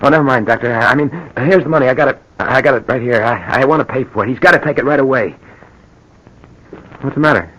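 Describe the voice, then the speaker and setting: An actor playing a desperate, guilt-ridden husband in a radio drama, stammering and urgent. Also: male voice, mid-range voice, quick pace, fairly bright tone, lightly acted, rough recording. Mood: desperate, demanding. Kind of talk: 1940s radio drama acting. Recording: old radio drama recording.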